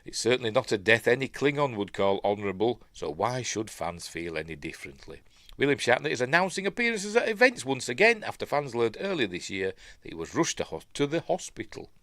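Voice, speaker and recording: A man's voice: mid-range voice, big pitch swings, brisk, fairly bright tone, dry recording. A man with a Yorkshire accent, sniffly from a stuffy nose, hosting a live news stream in a rambling, chatty way full of mumbled asides. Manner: chatty, self-interrupting asides and mumbled afterthoughts.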